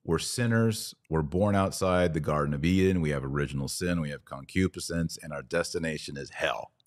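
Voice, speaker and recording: A man's voice: extremely low pitch, brisk. A man giving conversational religious commentary as a podcast host, emphatic and plain-spoken as he explains.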